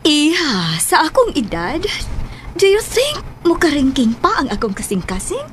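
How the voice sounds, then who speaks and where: A woman's voice: sits high, huge pitch swings, unhurried, fairly bright tone, full character voice. A woman playing a composed mature mother in a radio drama, dismissive of romance, mixing in English phrases. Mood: amused, dismissive. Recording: faint background noise.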